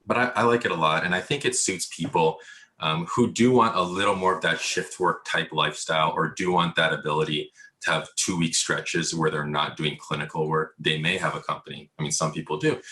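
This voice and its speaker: A man's voice: rumbling low, flat delivery, fairly quick, bright, forceful tone, a little hiss or hum. A man answering questions as a medical specialist in a casual interview over a video call, explaining things with frequent asides. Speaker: emergency radiologist, interview guest. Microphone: video call microphone.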